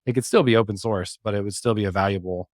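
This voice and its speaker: Male developer guest, somewhat deep, casual and joking, dry close recording. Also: fast, no room sound. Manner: joking, teasing. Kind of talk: casual chat.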